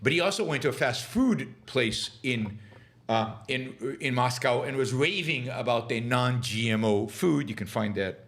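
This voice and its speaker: A middle-aged man hosting a political commentary show, speaking in a halting way with many fillers and restarts, and turning scornful and sarcastic. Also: medium pitch, expressive, a bit bright. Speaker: podcast host.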